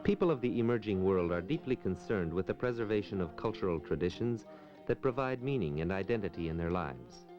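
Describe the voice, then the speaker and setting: A man's voice: deep voice, lively intonation, fairly quick, over music. A man reading scripted documentary narration, recorded on a muffled 1970s film soundtrack.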